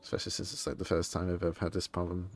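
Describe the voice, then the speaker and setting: A man chatting casually while livestreaming a game, in a rambling, self-interrupting, low-key way. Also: completely flat delivery, very fast. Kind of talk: casual stream chat. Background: soft game music.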